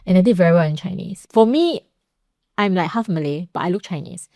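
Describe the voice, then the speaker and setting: A woman's voice: on the low side, animated, brisk, dark tone, no echo, studio quality. A young woman from Singapore with a Singaporean accent, hosting a podcast and telling stories in a chatty, easygoing way. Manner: chatty, storytelling, frequent "like" and "you know". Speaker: podcast host. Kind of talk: casual conversational interview.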